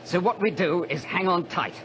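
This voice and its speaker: A small plane pilot trying to stay calm, really animated, noisy old radio play sound. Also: male voice, high-pitched, normal speed. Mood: controlled, reassuring. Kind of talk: radio adventure drama acting. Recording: noisy vintage radio drama recording.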